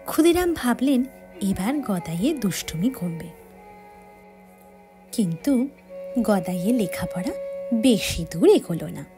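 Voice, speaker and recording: A woman narrating a devotional story for children in a gentle, measured reading style with soft breathy passages. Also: mid-range voice. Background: faint background music.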